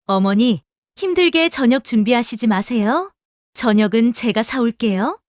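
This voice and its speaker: Middle-aged daughter-in-law, played by a woman, fairly high, polite, matter-of-fact, audio drama, close and dry. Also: animated, measured pace, a little mellow, no room sound. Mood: politely dismissive. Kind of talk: Korean audio drama acting.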